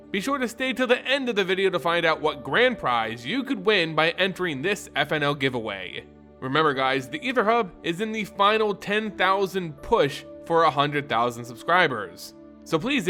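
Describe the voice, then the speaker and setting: Animated, bright-voiced male storyteller over music. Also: on the high side. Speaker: lore video narrator and channel host. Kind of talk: dramatic scripted story narration.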